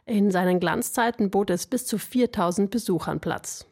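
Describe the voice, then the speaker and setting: A woman reads a scripted radio feature as a reporter. Her voice sits at a middle pitch and has a noticeable creak in it. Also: normal speed, darkish tone.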